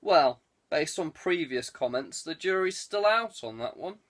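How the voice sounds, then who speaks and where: Man as a wry saboteur, teasing, higher-pitched. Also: lively intonation, measured pace, fairly bright tone. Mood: mock-exasperated. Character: wry, teasing male saboteur, ribbing his friend.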